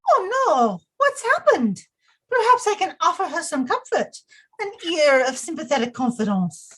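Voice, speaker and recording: Young queen, played by a woman: high, animated voice, naive, sweet, effusive, period audio-play theatrics. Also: normal speed, full character voice, rough recording. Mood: concerned. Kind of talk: period audio drama acting.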